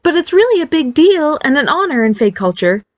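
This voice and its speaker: Brief: woman doing a high bubbly fairy-godmother mom, anxious but reassuring, close dry sound. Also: big pitch swings, a little fast, no room sound, dull audio. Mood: chirpy nervous. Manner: eager, reassuring, nervous, bubbly.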